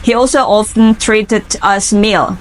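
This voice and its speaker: A woman in her twenties with an Indonesian accent answering interview questions for an English speaking assignment, earnest and careful, pausing with fillers. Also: mid-range voice, poor audio.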